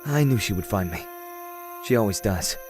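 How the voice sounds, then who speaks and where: A man's voice: lowish voice, lively intonation, moderate pace, music in the background, close to an everyday voice. A young man acting as a reluctant, self-doubting prince in an audio drama, speaking in a casual, hesitant, brooding way. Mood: weary recounting. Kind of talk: audio drama acting and first-person narration.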